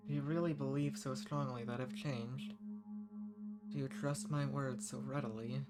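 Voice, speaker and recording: Guy doing an ancient dangerous villain, flirty n wistful, kinda posh wording, medium pitch, intimate roleplay audio, music really loud behind. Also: lightly acted. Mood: amused, tender. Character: ancient sealed villain, living weapon, flirty, dangerous, softened.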